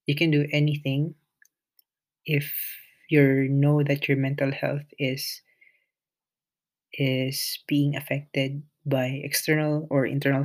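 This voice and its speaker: Talks kinda slow and halting, lots of ums, flat delivery, raspy fry in the voice. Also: medium pitch. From Philippines. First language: Filipino. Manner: hesitant, many pauses and restarts; shy, introverted. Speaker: podcast host. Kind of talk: solo podcast monologue.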